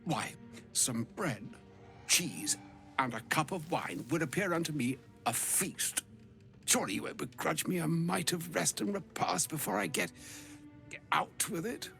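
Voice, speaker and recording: An actor playing an ancient, pompous, grumbling old wizard in a video game, with ornate archaic speech. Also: male voice, on the high side, lively intonation, measured pace, gravelly, sharp tone, faint music behind, full character voice. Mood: grumbling, weary. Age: older. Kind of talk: video game character acting.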